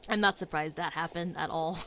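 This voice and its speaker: A woman's voice: low voice, a little fast, sharp tone, slight background noise. A woman gaming streamer giving casual reaction commentary as she plays. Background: game music.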